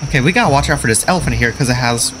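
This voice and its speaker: A man's voice: medium pitch, animated, racing, noisy background. Nasal man streaming a game. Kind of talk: casual let's play commentary. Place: small room indoors. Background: game music and sounds.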